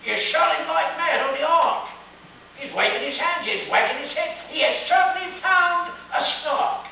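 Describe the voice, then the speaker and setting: Echoey distant recording, shrill fretful bellman, very high pitch, urgent exclamations, storybook poem. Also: expressive, normal speed, creaky, sharp tone, full character voice. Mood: excited shouting. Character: fretful, alarmed ship's bellman in a nonsense poem. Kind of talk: storybook character voice in dramatic reading. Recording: very echoey room, distant mic, faint noise.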